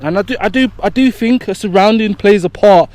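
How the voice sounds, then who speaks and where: A man's voice: high-pitched, a little fast, darkish tone. A man with a Birmingham accent, interviewing someone on the street with short, curious follow-up questions. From Birmingham, England. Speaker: travel vlogger interviewing a local. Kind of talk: casual interview. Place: outdoors on city streets.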